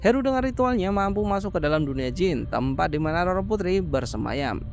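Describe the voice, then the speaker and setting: A man narrating a horror film recap in a casual, brisk way, dropping slangy joking asides between plot beats. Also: high-pitched, animated, over music.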